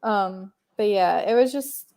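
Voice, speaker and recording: A woman's voice: medium pitch, unhurried, a little mellow. A young woman hosting a casual livestream chat, rambling with many fillers and laughing often. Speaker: livestream host.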